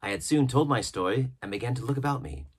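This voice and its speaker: A man with a husky voice reading an adventure novel aloud as scripted narration. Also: medium pitch, fast talker. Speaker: storybook narrator.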